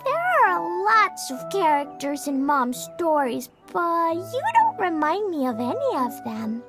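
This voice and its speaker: An eager, innocent little girl in a game cutscene. The voice is tiny and extremely high, lively in pitch and unhurried, with childlike earnestness over loud music. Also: full character voice. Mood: thoughtful. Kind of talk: video game cutscene voice acting.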